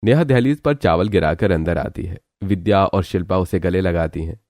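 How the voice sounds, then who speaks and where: Deep-voiced man narrating a story. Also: moderate pace, darkish tone, no echo, clean studio sound.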